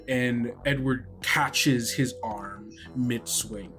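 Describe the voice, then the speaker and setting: A man's voice: medium pitch, very slow. A man as game master, improvising scene narration for a tabletop game, with music behind him.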